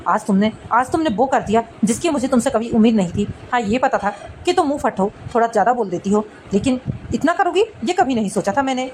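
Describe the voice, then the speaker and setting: A woman's voice: fairly high pitch, expressive, fast, darkish tone, a little hiss or hum, pitched below the performer's own voice, close to an everyday voice. A woman voicing a stern, indignant grown son in a cartoon family drama, emphatic and devoted to his parents. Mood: indignant, scolding. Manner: scolding, emphatic, dutiful.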